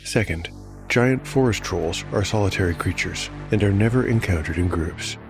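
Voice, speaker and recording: Male narrator and solo game master; lowish voice, scripted fantasy storytelling, prominent music bed. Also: moderate pace. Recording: music under voice. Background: clear music.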